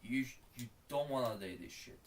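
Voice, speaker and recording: A man's voice: mid-range voice, lively intonation, fairly quick, far from the mic, slight background noise. Rambling Bulgarian man, a bit off-mic. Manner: rambling, profane, calls the listener bro. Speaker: streamer. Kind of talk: casual rant while gaming.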